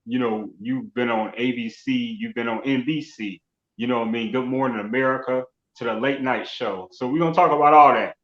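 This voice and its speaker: A man's voice: medium pitch, a little fast, fairly bright tone. A man hosting an online celebrity interview show, effusive and often dropping conversational tags as he talks. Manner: effusive, repeats "you know what I mean". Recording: roomy echo, dull lo-fi sound.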